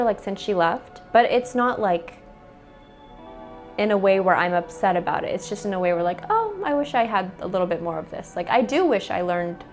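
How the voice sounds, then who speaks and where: A young woman with an American accent talks in a relaxed, conversational way at a middle pitch. She strings her thoughts together with plenty of "like" fillers. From United States. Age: young adult. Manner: chatty, with frequent "like" fillers. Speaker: interviewee. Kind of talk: casual interview answers.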